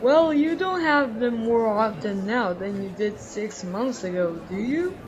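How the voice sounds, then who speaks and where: Woman playing earnest schoolboy, high-pitched and slightly muffled. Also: expressive, a little slow, a crowd in the background. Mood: reassuring, reasoning. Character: young boy, seventh grader, reassuring earnest friend. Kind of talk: audio drama acting.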